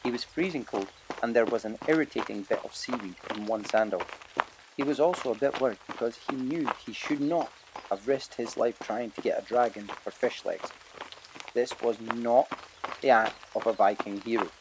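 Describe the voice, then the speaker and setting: A man's voice: medium pitch, normal speed. Male storybook narrator, noisy recording. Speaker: audiobook narrator.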